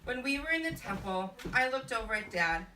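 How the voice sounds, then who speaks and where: High-voiced American woman storytelling, echoey and distant. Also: expressive, brisk. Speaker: puppet show narrator at a family reunion. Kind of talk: scripted storytelling, read aloud to relatives. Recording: echoey, noisy room recorded from far off.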